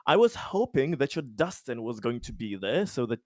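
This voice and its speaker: A man's voice: mid-range voice, very animated, moderate pace, darkish tone, no room sound, clean studio sound. A man playing a tabletop RPG, casually recapping his character's schemes in a rambling, sweary, self-amused way.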